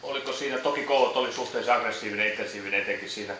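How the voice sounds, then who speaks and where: A man's voice: lowish voice, sharp tone. Man moderating a press conference. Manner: switches between Finnish and English questions. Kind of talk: postgame press conference questions. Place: echoey press room. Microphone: distant room mic. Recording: echoey room audio from far off with noise.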